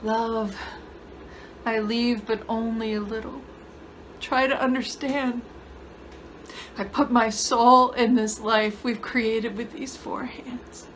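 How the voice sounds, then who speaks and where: A woman acting a tender, grieving, wistful storyteller in a soft and deliberate theatrical monologue. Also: on the high side, fairly even intonation, a little hiss or hum, poor audio.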